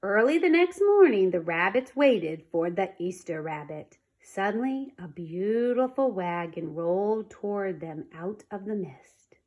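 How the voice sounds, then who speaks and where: A woman reading a children's storybook aloud in an expressive, dramatic manner. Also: medium pitch, a little slow, few pauses. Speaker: storybook reader.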